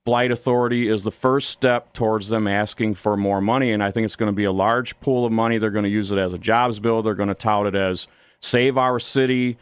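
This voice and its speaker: Smooth, lowish-voiced American man. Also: steady tone, fairly quick, no echo. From Toledo, Ohio, United States. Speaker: studio interview guest, YouTube commentator. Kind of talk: opinionated political radio interview. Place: radio studio.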